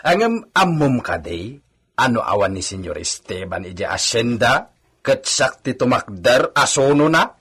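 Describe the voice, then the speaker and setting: Touchy bragging ilocano farmhand, radio comedy, sharp scratchy voice, argues in big swings. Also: male voice, medium pitch, sing-song, measured pace, husky, full character voice. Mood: indignant, scornful. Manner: bickering, exclamatory. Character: boastful, touchy male hacienda worker. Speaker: actor in a radio comedy drama.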